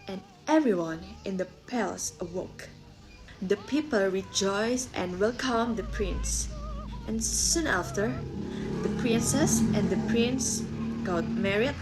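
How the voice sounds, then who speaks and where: A young woman delivers a scripted storytelling presentation. Her pitch is average and her pace is very slow and measured, with clear background music throughout. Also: low-quality recording. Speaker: student storyteller.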